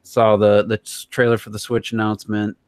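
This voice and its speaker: A man with a fairly low voice hosts a relaxed, informal podcast discussion about games. The recording is very dry, with almost no room sound. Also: brisk, clean tone, dry recording. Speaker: podcast host. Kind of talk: casual gaming podcast discussion.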